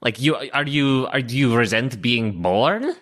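A tabletop player chats casually in character, asking teasing questions. The delivery is slow, with intonation that swings widely. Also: medium pitch, lively intonation, fairly bright tone. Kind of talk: casual improvised in-character chat.

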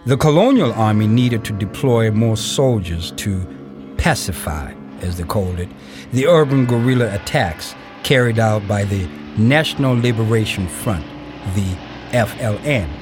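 A man acting as the narrator of an audio play, giving measured, factual, documentary-style narration. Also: lowish voice, lively intonation, music in the background. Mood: sober, factual. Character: sober male documentary-style narrator of a biography.

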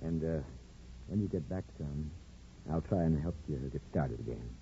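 An actor playing a gruff, sentimental middle-aged small-town father in a folksy, grumbling manner, in 1950s radio drama style. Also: rumbling low, animated, moderate pace, warm tone, a little hiss or hum. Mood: subdued, tender. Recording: muffled 1950s radio broadcast, music.

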